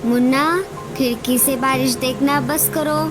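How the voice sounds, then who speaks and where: A woman voicing a sharp-tongued, nagging female bird, a wife and mother, in a cartoon. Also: sits high, steady tone, measured pace, over music. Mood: scornful. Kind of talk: Hindi cartoon dialogue acting.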